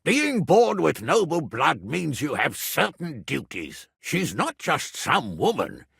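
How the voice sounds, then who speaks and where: A gruff middle-aged nobleman and guardian in a medieval video game. His voice is forceful and gravelly, and he takes his time over his words. He is blunt, earthy and used to giving orders. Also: fairly high pitch, wildly swooping pitch, slow pace, no room sound, studio quality, heavily acted. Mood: weary, firm. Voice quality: gravelly, raspy. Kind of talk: dramatic video game dialogue.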